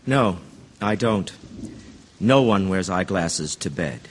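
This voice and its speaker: An actor playing a composed, logical juror in a radio drama, speaking in a deliberate, restrained way. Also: male voice, low voice, animated, a little hiss or hum. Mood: composed, certain. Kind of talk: stage-style radio drama acting.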